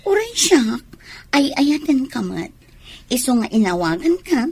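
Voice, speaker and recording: Casting: woman to play a possessive, calculating other woman, husky and very animated, Ilocano Filipino accent, radio play. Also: high-pitched, unhurried, full character voice. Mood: pleading. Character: scheming, possessive former girlfriend, sulky and demanding. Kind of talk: Filipino radio comedy-drama acting.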